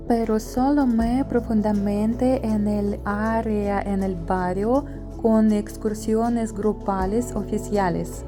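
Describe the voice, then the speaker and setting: Slow careful woman narrating a vlog. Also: on the high side. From outside Paraguay. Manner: slow, careful, rehearsed delivery of a memorized translated script. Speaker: travel vlogger. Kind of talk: scripted voice-over narration. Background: faint background music.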